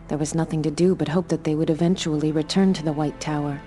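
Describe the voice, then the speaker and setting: An actress playing a resolute, dutiful keeper in a fantasy video game, with formal, earnest and dramatic delivery. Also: female voice, low-pitched, fairly even intonation, fast, dark tone, soft background music, lightly acted. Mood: grave, reflective. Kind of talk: scripted game narration and dialogue.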